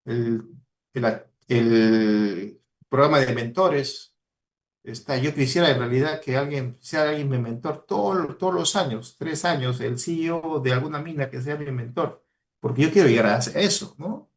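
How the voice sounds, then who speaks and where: A man's voice: medium pitch, unhurried. A man with a Peruvian Spanish accent giving a motivational talk to students, earnest and exhorting, repeating key words for emphasis. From Peru. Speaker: guest speaker, mining professional.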